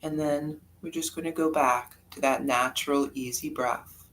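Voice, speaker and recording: A yoga nidra teacher leading a guided meditation in a calm, unhurried, soft-spoken way with long pauses. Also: mid-range voice, slight background noise.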